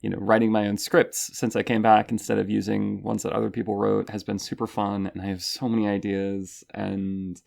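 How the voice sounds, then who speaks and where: A man's voice: on the low side, flat delivery, moderate pace, vocal fry, hardly any pauses, darkish tone. A young man, an ASMR channel host, talking casually out of character to his listeners.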